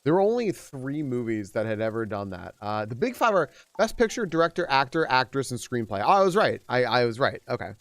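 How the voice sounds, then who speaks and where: A man chatting casually on a live stream while playing a game. He rambles with many restarts and jumps up in pitch whenever he greets viewers. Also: very animated, fairly quick, hardly any pauses, fairly bright tone, no room sound.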